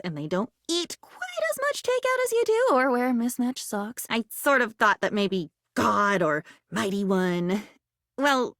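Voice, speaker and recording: A woman's voice: fairly high pitch, a bit bright, no room sound, studio quality, heavily acted. A woman voicing a young, earnest, dreamy living object in a video game, whimsical and theatrical, mixing flowery formal words with chatty asides. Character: female living diary, young, earnest, dreamy, newly self-aware. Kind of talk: video game character voice acting.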